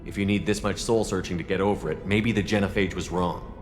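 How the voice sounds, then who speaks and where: A blunt, confrontational male commander from a video game , played by a man. His voice is deep and he talks quickly, pressing his point directly. Long reverb and music surround the voice. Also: steady tone, natural voice. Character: blunt, challenging human male military commander. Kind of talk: video game cutscene acting.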